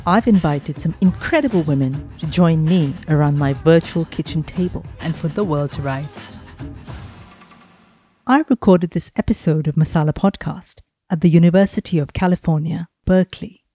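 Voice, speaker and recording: A woman's voice: low-pitched, normal speed, dark tone, faint music behind. A woman hosting a podcast, reading a scripted intro and then asking interview questions with enthusiasm. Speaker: podcast host.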